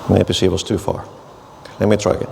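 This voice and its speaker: A man on a conference stage giving a live tech demo, talking through each step in a relaxed way as he works. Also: low-pitched, fairly quick, creaky. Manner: relaxed, narrating steps while typing. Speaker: conference speaker. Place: large conference hall stage. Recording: reverberant hall, faint noise.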